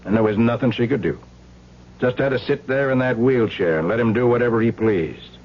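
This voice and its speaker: A man's voice: mid-range voice, lightly acted. An American man playing a skeptical police lieutenant in an old radio crime drama, questioning with dry, knowing sarcasm. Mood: sardonic, knowing. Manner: deliberate, dryly sarcastic, knowing. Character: skeptical, sardonic male police lieutenant interrogating a suspect. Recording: dull, noisy old radio recording with hum.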